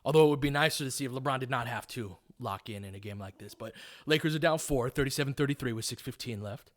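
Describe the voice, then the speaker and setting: A man with a medium-pitched voice calls a basketball game live. He talks informally, like a fan of one side, and follows the action play by play. He also explains tactics in coaching detail. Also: quick pace. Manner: informal, partisan fan asides. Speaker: play-by-play commentator.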